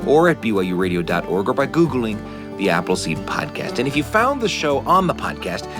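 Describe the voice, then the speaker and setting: Animated American man hosting radio. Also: mid-range voice, brisk. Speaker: radio show host. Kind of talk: scripted radio show outro. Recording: music under the voice.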